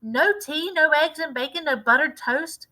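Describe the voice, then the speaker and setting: A woman voicing a huge, bossy, greedy old grandmother who shouts her demands in a shrill voice. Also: moderate pace, rough recording. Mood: shrill scolding.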